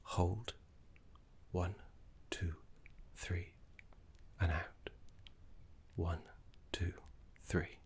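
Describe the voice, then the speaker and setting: A man voicing a gentle, erudite necromancer teacher in a fantasy ASMR roleplay, speaking in a breathy half-whisper with refined, reassuring deliberation. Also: low-pitched, level tone, very slow, long pauses, full character voice. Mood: soothing, encouraging.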